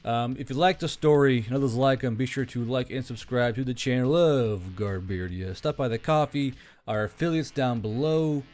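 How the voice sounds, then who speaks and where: A man's voice: medium pitch, moderate pace, darkish tone. A man with a mid-range voice hosts in an informal, unscripted way. He rambles a little and speaks earnestly to listeners over faint music. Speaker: channel host. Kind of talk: casual unscripted sign-off to listeners.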